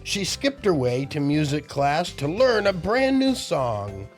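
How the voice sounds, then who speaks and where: An older male children's entertainer reads and chats to young listeners in a fairly high, swinging voice. He takes his time and plays it theatrically, with soft music underneath. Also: slow pace. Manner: animated, theatrical, addressing young listeners directly. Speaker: children's storytime host and entertainer. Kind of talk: rhyming read-aloud narration and cheery chat to children.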